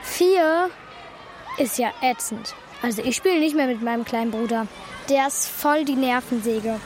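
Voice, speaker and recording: A very high-voiced schoolgirl played by a woman talks in a children's radio play. She is the cheeky best friend, blunt and always prying. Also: moderate pace, a faint crowd, slight background noise, close to an everyday voice. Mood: scornful.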